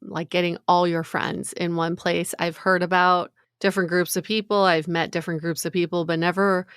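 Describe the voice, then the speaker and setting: A woman with a fairly low voice co-hosts a dating podcast, upbeat and agreeable, tossing in fillers. Her voice sits dry and close to the mic. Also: little pitch movement, normal speed, fairly bright tone, clean tone, no room sound. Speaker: podcast co-host. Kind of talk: casual chat and read ad.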